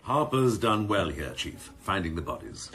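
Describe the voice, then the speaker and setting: A man's voice: low voice, sing-song, normal speed, fairly bright tone, breathy edge, full character voice. An actor playing a sardonic senior policeman in a radio drama, scornful and mock-deferential, with ornate, sarcastic phrasing. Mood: sardonic, needling. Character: sardonic, scornful senior police Assistant Chief, needling his boss.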